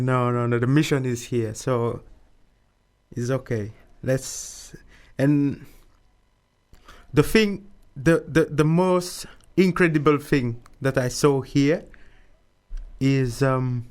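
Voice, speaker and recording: A man's voice: medium pitch, fairly even intonation, slow, pauses often, darkish tone. A man from Mauritius being interviewed, talking casually and repeating words often.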